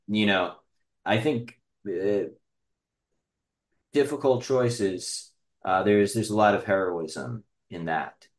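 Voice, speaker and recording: A man in casual podcast conversation, speaking reflectively and haltingly with frequent pauses, in roomy, echoing sound. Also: on the low side, fairly bright tone.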